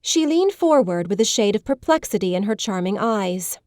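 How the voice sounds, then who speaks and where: A woman reads scripted literary narration in a mid-pitched voice. Her storytelling is measured and polished, recorded very dry and close. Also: lively intonation, darkish tone, no room sound. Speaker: audiobook narrator.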